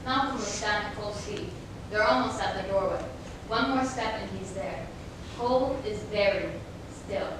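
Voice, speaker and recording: A young woman giving dramatic scripted narration on stage with deliberate delivery. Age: young adult. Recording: echoey room, distant mic, noisy rumble.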